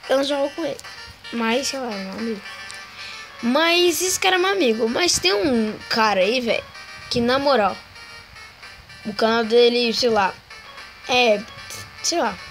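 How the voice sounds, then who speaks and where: A young woman with a Brazilian accent, hoarse, rambling casually as she comments on her own gameplay. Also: on the high side, expressive, unhurried, pauses often, fairly bright tone, low-quality recording. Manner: rambling slangy talk, full of fillers. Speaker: gaming channel host. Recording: game music playing behind.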